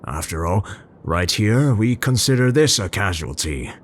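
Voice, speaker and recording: A man performs as a gentle, brooding male scientist in an intimate ASMR roleplay. His speech is very slow and formal, full of hesitant pauses, and it rises and falls expressively. A faint low rumble sits behind the voice. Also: very animated. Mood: apologetic, matter-of-fact. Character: tender, scholarly Dutch male scientist, brooding but gentle.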